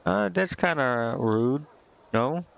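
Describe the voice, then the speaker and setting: A man's voice: mid-range voice, animated, takes it slowly, gravelly, lo-fi sound, a little hiss or hum. A man livestreaming a game, reading text aloud and commenting in an informal, slangy, offhand way. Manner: informal, slangy, reacting offhand between read-out lines. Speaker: video game streamer.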